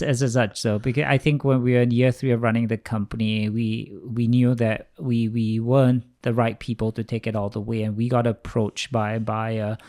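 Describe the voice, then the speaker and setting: Male interview guest from Singapore, forties, average pitch, conversational storytelling as an investor. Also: a little mellow. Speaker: venture capitalist, interview guest.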